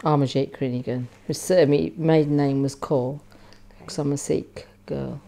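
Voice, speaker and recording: Woman from Malaysia, interviewee; low warm voice, quick chatty intro. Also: fairly even intonation, vocal fry. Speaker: oral history interviewee.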